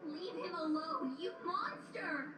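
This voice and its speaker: A woman's voice: extremely high pitch, animated, slow, exaggerated voice. A woman playing a young, earnest pony princess in an audio drama, with a dramatic, pleading delivery. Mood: angry. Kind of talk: fan audio drama acting. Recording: roomy, distant playback under music.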